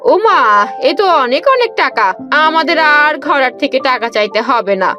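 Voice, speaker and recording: A woman's voice: high-pitched, very animated, normal speed, dull audio, music in the background. A woman voicing a woodcutter's kind, caring wife in a fairy-tale cartoon, homely and agreeable. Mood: amazed.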